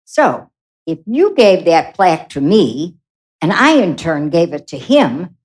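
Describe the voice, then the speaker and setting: A woman's voice: fairly low pitch, sing-song, a little slow, darkish tone. A woman acting in a radio play as a departed mother, a wistful, plucky cowgirl type who talks in a folksy, heartfelt way.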